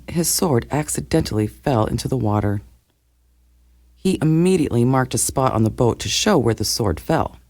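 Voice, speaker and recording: Guy reading folktales, really animated pitch, clear phrasing, dry close sound. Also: no echo. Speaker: narrator. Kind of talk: scripted folktale narration for language learners.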